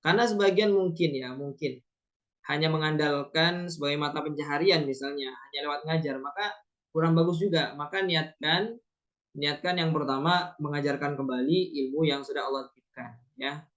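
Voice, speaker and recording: A man's voice: fairly high pitch, normal speed, fairly bright tone, highs cut off. A man teaching a training course on Quran reading, explaining patiently and punctuating his points with short affirming fillers. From Indonesia.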